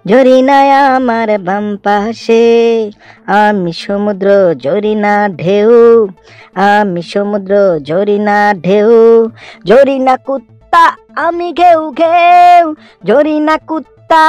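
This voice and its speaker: A man voicing a goofy, boastful, lovestruck schoolboy in a comic cartoon, with a drawn-out sing-song manner and a pitched-up voice. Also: steady tone, no room sound. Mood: silly singing. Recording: muffled lo-fi audio with music behind.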